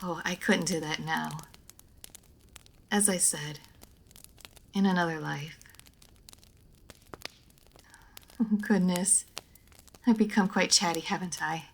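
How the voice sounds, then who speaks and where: An ancient vampire lady, played by a woman, speaks slowly in a fairly low voice. She is proud and guarded with a playful edge, and her wording is formal and old-fashioned, as in an intimate audio roleplay. There is noticeable noise behind her. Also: creaky. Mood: wistful, reserved. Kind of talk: roleplay audio drama addressed to the listener.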